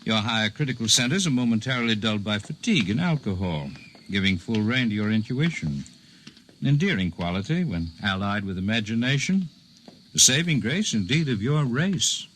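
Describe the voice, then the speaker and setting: An actor playing a serene, enigmatic Indian healer in a 1950s radio drama, speaking in a formal, measured, courteous way. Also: male voice, fairly low pitch, big pitch swings, fairly bright tone. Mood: serene, teasing. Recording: noisy 1950s radio broadcast recording.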